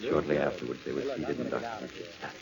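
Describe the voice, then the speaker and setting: Very deep suspense narrator. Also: male voice, sing-song, fast talker, vocal fry, heavily acted. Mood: grave. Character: ominous male narrator of suspense tales. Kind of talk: scripted 1940s radio narration. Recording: dull old radio recording.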